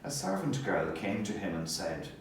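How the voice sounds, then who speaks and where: A man reading a Gospel solemnly as narrator, in a measured, formal manner, as clergy do in worship. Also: sits low, sing-song. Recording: echoey, distant, faint background noise. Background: passing hospital noise.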